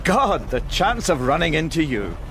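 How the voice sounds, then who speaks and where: An actor playing an affable middle-aged British art gallery director, chatty and wryly self-deprecating, in a radio drama. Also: male voice, fairly high pitch, very animated, moderate pace, fairly bright tone, slight background noise. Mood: affable, eager.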